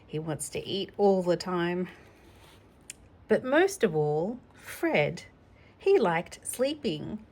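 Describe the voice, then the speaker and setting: A woman reading a children's storybook aloud in an informal, gentle, conversational way, adding personal asides for a child. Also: fairly low pitch, big pitch swings, slow. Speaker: storybook reader.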